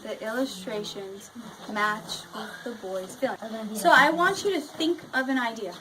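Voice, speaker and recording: High voiced lady teacher asking kids questions during a read aloud, noisy classroom behind her. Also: unhurried, bright, forceful tone. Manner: questioning and prompting pupils. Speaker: elementary school teacher. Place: school classroom. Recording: noisy classroom, clear background noise.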